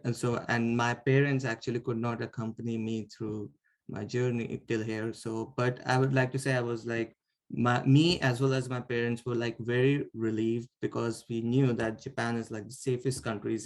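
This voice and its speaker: A man's voice: mid-range voice, flat delivery, moderate pace. A young man from India, a bachelor's student, giving an informal talk, with frequent "like" fillers and restarts. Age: young adult. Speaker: student speaker. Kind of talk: informal presentation.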